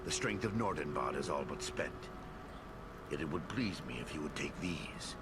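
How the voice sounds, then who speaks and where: Game role: solemn dwarven lord, rock-bottom pitch, formal and grave, loud score underneath. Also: male voice, lively intonation, moderate pace. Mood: solemn, resolute. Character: grave, generous dwarven lord of a war-weary hall. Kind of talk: fantasy video game cutscene acting. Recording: loud music behind the voice.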